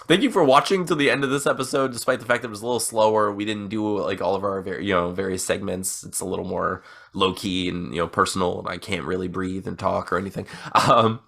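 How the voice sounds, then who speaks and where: A man's voice: low-pitched, quick pace, gravelly, fairly bright tone. A man in his thirties co-hosting a podcast, talking casually with lots of restarts and laughs while he gets over an illness. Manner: rambling, laughing, restarts often. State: recovering from illness. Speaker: podcast co-host. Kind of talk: casual chat.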